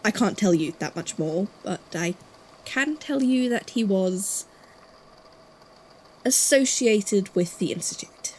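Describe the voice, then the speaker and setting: A woman playing a guarded, thoughtful investigator in an audio drama, speaking haltingly. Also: mid-range voice, expressive, darkish tone, a little hiss or hum, rough recording. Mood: careful, guarded. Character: guarded, puzzled investigator from a supernatural archive.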